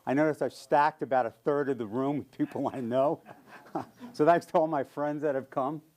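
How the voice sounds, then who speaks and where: A man's voice: mid-range voice, fairly even intonation, normal speed, a little mellow. A man in his seventies with a New York accent, giving a friendly, chatty talk as a guest speaker. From New York area. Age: 70s. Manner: friendly, chatty, frequent ums and light laughs. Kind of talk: casual public talk.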